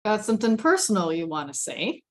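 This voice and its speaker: Casting: shrewd, money-minded detective played by a woman, blunt, terse, mid-pitched, table-read delivery. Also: very animated, a little fast, lightly acted. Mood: probing. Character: blunt, shrewd detective Emerson, probing and mercenary.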